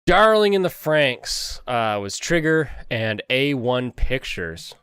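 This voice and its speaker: A man hosting an anime review stream, chatting casually and rambling, with a jokey, animated manner. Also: medium pitch, takes it slowly, clear, resonant tone, dry recording.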